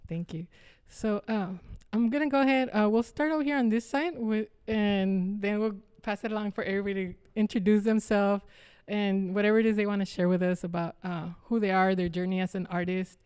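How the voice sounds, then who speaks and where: Woman moderating a panel, mid-pitched. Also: fairly even intonation, normal speed, darkish tone, smooth. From San Ysidro, southern California. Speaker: panel moderator, community arts organization officer. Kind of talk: informal panel hosting. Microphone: handheld microphone.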